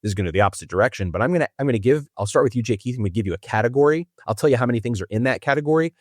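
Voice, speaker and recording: A man's voice: medium pitch, animated, racing, no echo, studio quality. A man hosting a trivia podcast in a chatty, amused manner, explaining rules at length. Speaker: quiz host. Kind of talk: casual game-show hosting.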